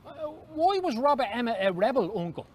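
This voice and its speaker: A man's voice: extremely high pitch, big pitch swings, brisk, a little mellow, voice pushed up, exaggerated voice. A man voicing an inquisitive, persistent young Irish boy with an eager, questioning manner, in a story reading. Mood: curious, eager.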